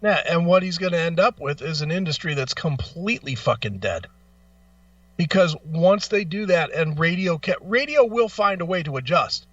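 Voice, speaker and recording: A man's voice: a little high, lively intonation, normal speed, a bit bright, dry recording, distant mic, lo-fi sound. A man co-hosting a casual radio talk show, arguing his opinions bluntly and with plenty of swearing. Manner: blunt, profane, argumentative.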